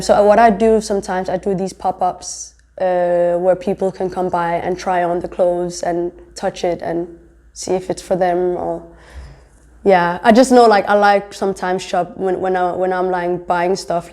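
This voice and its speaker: A young woman with a low, mellow voice answers interview questions in a relaxed, chatty way. She fills her sentences with "um" and "like" as she explains her work. Born in Somalia and raised in Denmark. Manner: chatty, many fillers like "um" and "like". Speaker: fashion designer and pharmacy student.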